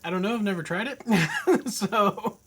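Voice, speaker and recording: A man bantering casually beside a livestream host, dry and deadpan. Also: high-pitched, measured pace, husky. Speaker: host's partner off to the side.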